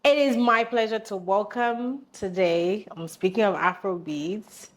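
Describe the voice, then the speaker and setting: A woman hosting a podcast interview, bubbly and enthusiastic, chiming in with quick affirmations. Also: mid-range voice. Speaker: podcast host.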